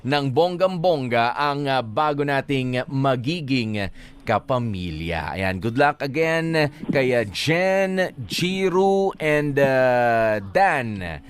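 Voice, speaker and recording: A male radio host with a Filipino accent speaks in a conversational style. He addresses his listeners directly with advice and slips English phrases into his talk. His pitch sits in the middle for a man. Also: animated, takes it slowly, clean tone.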